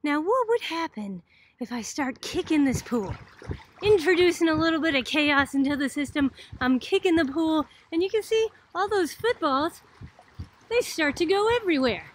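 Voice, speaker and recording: A woman's voice: sits high, lively intonation, moderate pace, slight background noise. A middle-aged woman from Boston teaching in a casual, chatty, explanatory way, like an informal college video lecture. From Boston, United States.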